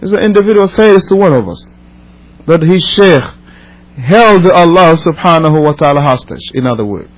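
A man's voice: on the high side, animated, moderate pace, poor audio. A man from Senegal, a non-native English speaker, teaches a religious class as an imam, reading and explaining with emphatic repetition and rhetorical questions. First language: not English. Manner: emphatic, repeats and rephrases, asks rhetorical questions.

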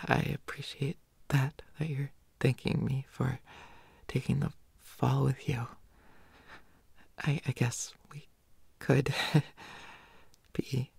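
A young woman plays a pouty teenage schoolboy in an intimate audio roleplay, very breathy and low-pitched for a female voice. He stammers slowly and haltingly, often dropping to a hush, indignant yet secretly smitten. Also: dry recording, full character voice. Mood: softening, shy. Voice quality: breathy, hushed, often whispering.

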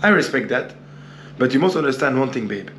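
A man's voice: mid-range voice, expressive, a little fast, fairly bright tone, slight background noise. A young man from Brazil with a Brazilian accent, vlogging loosely and boastfully in broken English to a camera held at arm's length in a small hotel room. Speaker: travel vlogger. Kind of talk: casual vlog talk to camera.

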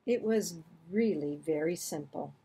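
A woman's voice: on the low side, a little slow, dark tone, husky. A woman in her sixties reading a children's book aloud, expressively acting out the dialogue and action. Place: small home room, indoors.

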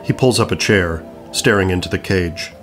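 Lowish-voiced male narrator. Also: moderate pace, over music. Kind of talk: scripted narration, reading stage directions.

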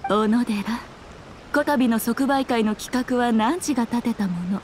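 A woman's voice: on the high side, measured pace, a little hiss or hum, natural voice. A woman voicing a sly, self-assured fox shrine maiden in a Japanese anime game, speaking in a lordly, archaic, teasing manner. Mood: commanding, reassuring.

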